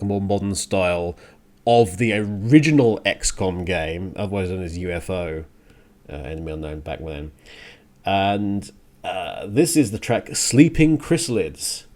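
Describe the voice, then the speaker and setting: A man's voice: lowish voice, a little mellow. A man hosting a music radio show, rambling casually and thinking aloud with hesitant digressions.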